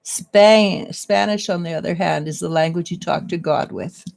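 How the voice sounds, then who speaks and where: A middle-aged woman giving a casual psychic reading, halting and restarting as she searches for words. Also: fairly low pitch, vocal fry, a little mellow. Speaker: psychic reader. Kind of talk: casual spiritual reading and chat.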